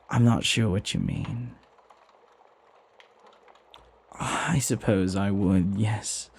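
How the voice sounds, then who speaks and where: Breathy, lovestruck butler, acted by a man. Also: on the low side, mellow, full character voice. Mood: hesitant, hopeful. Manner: tender, hesitant, breathy, often sinking into whispers. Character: flirty lovestruck male butler, devoted and deferential. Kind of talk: intimate ASMR roleplay acting.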